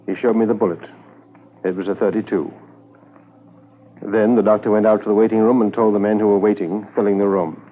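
A man's voice: lowish voice, close to an everyday voice. An actor playing a sombre, businesslike police inspector narrating his case tersely in a 1950s radio drama. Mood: grave, subdued. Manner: terse, measured, matter-of-fact. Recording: muffled 1950s radio transcription, faint music.

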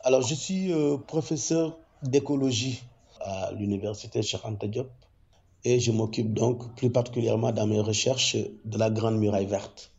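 A man with a gravelly, dark voice explains ecology in a measured, deliberate way, pausing often to find his words. The sound is slightly dull and muffled, as if recorded on modest equipment. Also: fairly low pitch, fairly even intonation. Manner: measured, explanatory, with frequent hesitations. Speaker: ecology professor. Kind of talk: interview testimony.

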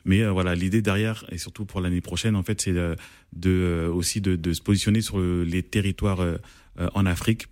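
A man with a very low voice, heard as a guest in a radio interview. He talks haltingly, full of fillers and restarts. The studio sound is dry and close. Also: fairly even intonation, a little mellow, no echo. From France. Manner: hesitant, many fillers and restarts. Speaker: event organizer, guest.

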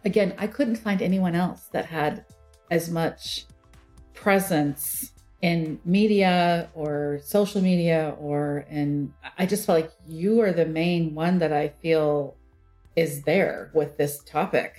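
Woman interviewer with a lowish voice. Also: takes it slowly, dark tone, soft background music. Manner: encouraging, affirming, often rephrases. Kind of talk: casual conversational interview.

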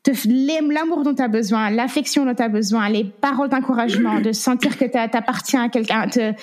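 Woman, 30s, interview guest; candid, halting testimony, high-leaning voice, English words slip in. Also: a little mellow. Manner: hesitant, many fillers, slips in English words. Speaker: guest sharing her story.

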